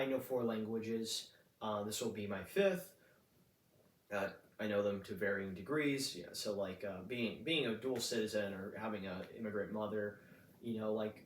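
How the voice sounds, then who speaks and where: A man in his twenties, talking in a rambling casual monologue full of fillers and restarts. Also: lowish voice. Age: 20s. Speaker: vlogger. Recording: roomy echo, distant mic.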